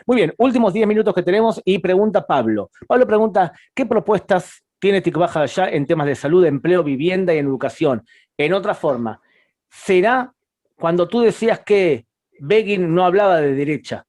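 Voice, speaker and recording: A man's voice: sits high, animated, moderate pace, darkish tone. A man interviewing a politician, asking direct, pointed questions.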